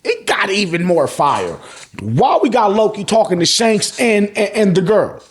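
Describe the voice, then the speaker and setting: American guy doing a slangy manga recap, swears a lot, voice a bit high and jumps all over, repeats stuff for emphasis. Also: moderate pace. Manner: animated, profane, repeats phrases for emphasis. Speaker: host of a manga review show. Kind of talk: casual, slangy chapter recap commentary.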